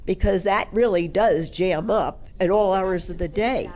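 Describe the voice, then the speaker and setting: A woman's voice: medium pitch, measured pace, darkish tone. A woman questioning officials from the audience as a local resident, in a pointed, insistent manner. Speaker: local resident at public meeting.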